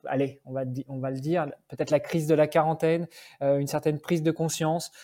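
A man's voice: fairly high pitch, flat delivery, moderate pace, mellow. A man in his forties from France, a podcast guest talking casually with many fillers and restarts. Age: 40s. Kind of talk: casual interview talk.

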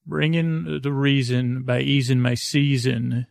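A man rambles through a bedtime podcast intro in soft, gravelly tones. He drifts from point to point and pokes fun at himself. The sound is dry and close. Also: mid-range voice, little pitch movement, takes it slowly, dry recording. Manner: rambling, easily sidetracked, self-mocking. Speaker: podcast host.

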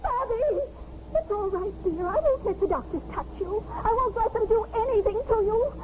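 A woman's voice: very high-pitched, expressive, normal speed, a little mellow. An actress in a 1950s radio drama playing a distraught, self-pitying, smothering middle-aged mother in an overwrought, pleading manner. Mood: frantic, pleading. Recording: muffled, very noisy 1950s radio recording.

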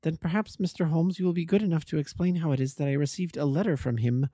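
A man voicing an anxious, earnest Victorian wife, raising his voice high and pleading. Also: quick pace, warm tone, dry recording, studio quality, lightly acted.

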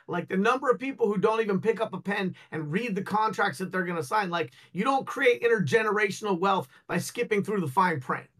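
A man with a noticeably high voice gives his views as a guest on a casual podcast-style show. He is blunt and opinionated, swears now and then, and makes his points with folksy comparisons. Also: fairly bright tone. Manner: blunt, opinionated, salty language; folksy analogies. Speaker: guest on a cannabis news show.

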